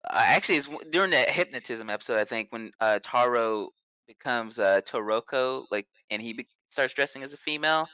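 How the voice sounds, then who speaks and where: A man's voice: mid-range voice, brisk, sharp tone, clear, resonant tone, no room sound. A young man co-hosting a casual anime review podcast, enthusiastic and rambling, with lots of fillers. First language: not Japanese; speaks English. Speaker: podcast co-host.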